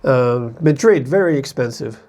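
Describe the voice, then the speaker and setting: A man's voice: mid-range voice, expressive, normal speed, darkish tone. A man from the United States talking casually to camera as an expat vlogger, in a rambling, conversational way that often corrects itself.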